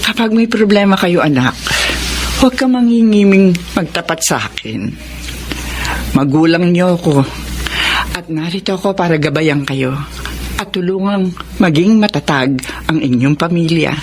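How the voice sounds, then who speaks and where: A woman playing a gentle, sentimental older mother-in-law in a Filipino radio drama, speaking tenderly and with emotion. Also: fairly low pitch, expressive, normal speed, long pauses, a little hiss or hum, natural voice. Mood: gentle, reassuring. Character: warm elderly mother-in-law, gentle, sentimental, easily moved.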